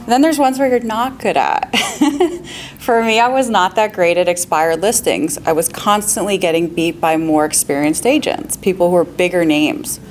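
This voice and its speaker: A woman's voice: lowish voice, steady tone, moderate pace, slight background noise. A woman, a real estate agent on a panel, giving upbeat, practical advice with frequent laughs and questions to the audience.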